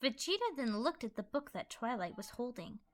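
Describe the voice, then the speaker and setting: A woman's voice: a little high, big pitch swings, normal speed. A woman reading scripted narration for a fan fiction audio story.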